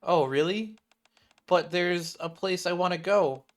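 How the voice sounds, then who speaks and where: A man's voice: high voice, unhurried, voice pushed up. A man voicing a perky, teasing schoolgirl as he reads game cutscene dialogue aloud. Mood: playful teasing.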